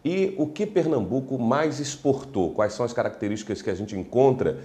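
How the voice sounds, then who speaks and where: A man hosting a talk show who asks the guest formal, measured questions. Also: mid-range voice, warm tone. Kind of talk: interview questions.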